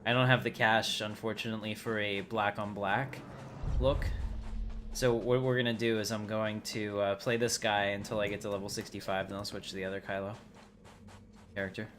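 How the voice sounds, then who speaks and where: A man's voice: fairly low pitch, little pitch movement, normal speed, a bit bright. A man giving casual, rambling livestream commentary as he thinks aloud while playing a game. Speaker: livestream host. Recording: clearly noisy background.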